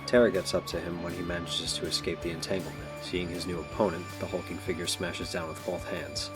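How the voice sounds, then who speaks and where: Deep-voiced male narrator over music. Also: level tone, brisk. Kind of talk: scripted audio drama narration. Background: background music.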